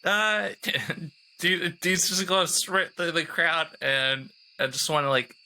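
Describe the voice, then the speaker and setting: A man's voice: on the high side, steady tone, takes it slowly, pushed and bright, poor audio. A man playing a tabletop role-playing game, bantering casually in a jokey, improvised way.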